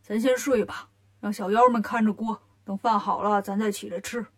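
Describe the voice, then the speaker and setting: A woman's voice: mid-range voice, fairly even intonation, normal speed, a bit bright, voice pushed down, natural voice. A greedy male lion demon chief, acted out by a woman storyteller. He is bossy and lazy, speaking in a commanding, everyday way fit for a children's storybook. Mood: weary.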